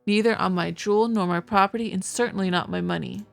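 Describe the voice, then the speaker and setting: A woman's voice: mid-range voice, fast talker, creaky, faint music behind, natural voice. A woman playing an indignant, defiant young wife in a visual novel, with dramatic, emphatic delivery. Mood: defiant, accusing.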